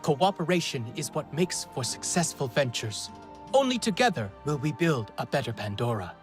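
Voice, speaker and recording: A man's voice: fairly high pitch, very animated, moderate pace, faint music behind. An actor playing a young, sensitive Na'vi man in a video game, earnest and a little defensive. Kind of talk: video game dialogue acting.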